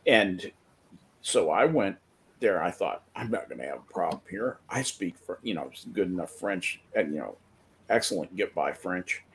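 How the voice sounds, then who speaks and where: Lively, gravelly man telling stories. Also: low voice, normal speed, a little hiss or hum. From Belgium. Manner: animated storyteller who stumbles on words. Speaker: podcast co-host. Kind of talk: casual chat.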